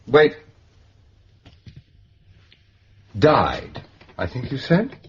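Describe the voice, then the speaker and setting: An urbane gentleman sleuth in a radio play, with an educated English accent. His voice is very husky and swoops freely as he muses with dry, donnish amusement. The recording is slightly muffled. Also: mid-range voice, huge pitch swings, slow pace, heavily acted. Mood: dry, wry. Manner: musing, understated, donnish. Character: Albert Campion, urbane, dryly amused gentleman detective. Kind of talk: British radio drama acting.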